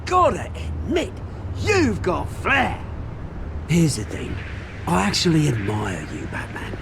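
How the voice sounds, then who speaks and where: An actor playing a mocking, swaggering crime boss with a British accent in a video game. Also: male voice, fairly high pitch, wildly swooping pitch, slow pace, gravelly, noisy background, heavily acted. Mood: smug, persuasive. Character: mocking, theatrical male crime boss taunting a vigilante. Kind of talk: video game character acting.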